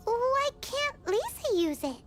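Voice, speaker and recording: A woman voicing a tiny, childlike, excitable fairy companion in a video game, who talks about herself in the third person. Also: very high-pitched, takes it slowly, heavily acted. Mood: curious, excited. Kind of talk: animated video game dialogue. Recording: game music playing behind.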